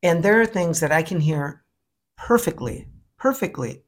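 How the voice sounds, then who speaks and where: A middle-aged man co-hosting a podcast, chatting in an animated, gushing, campy way. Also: fairly high pitch, normal speed. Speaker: podcast co-host. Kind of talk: casual chat.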